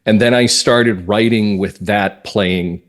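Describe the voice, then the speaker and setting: An American man talking as a guest writer in a conversational interview, explaining things reflectively and emphatically in short measured phrases. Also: fairly low pitch, fairly even intonation. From northern Massachusetts, US. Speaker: guest playwright and comedy writer.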